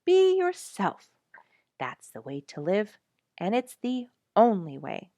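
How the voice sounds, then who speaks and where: Woman voicing a feisty, folksy porcupine lecturer, swooping pitch. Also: wildly swooping pitch, slow, mellow, no echo. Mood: folksy, urging. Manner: folksy, emphatic, preaching bluntly. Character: feisty young male porcupine lecturer, folksy, blunt, self-assured. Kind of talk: storybook character speech.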